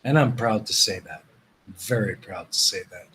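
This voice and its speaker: A man's voice: fairly low pitch, moderate pace, a bit bright, slight background noise, poor audio. Canadian man chatting on a live stream. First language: Dutch. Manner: rambling, repeats words, reads chat messages aloud. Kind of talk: casual live stream chat with viewers.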